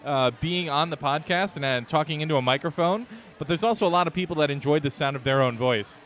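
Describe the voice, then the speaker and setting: A young man hosting a podcast, talking in a relaxed, rambling way over beers outdoors at a brewery. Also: on the high side, quick pace. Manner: relaxed, rambling, with many fillers and restarts. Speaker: podcast host and editor. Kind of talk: casual chat over beers.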